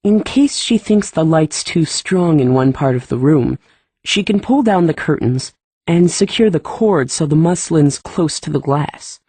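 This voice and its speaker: A woman doing a gruff young male gardener. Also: sits low, lively intonation, unhurried, dark tone, dry recording, exaggerated voice. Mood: blunt. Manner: terse, plain-spoken, clipped folksy words.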